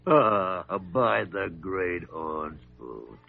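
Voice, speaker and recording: Crusty, stammering old radio doctor. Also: male voice, sits low, big pitch swings, drawn-out words, vocal fry, exaggerated voice. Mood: jovial. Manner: stammering, repeating his words. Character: crusty, fussy old veteran hospital doctor, male. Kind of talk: 1940s radio acting. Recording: dull old radio recording, noisy.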